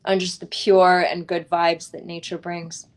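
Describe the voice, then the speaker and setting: A woman's voice: on the low side, little pitch movement, unhurried. A woman speaking as a guest author in a conversational interview, in an earnest, reflective way, often checking in with a short tag question.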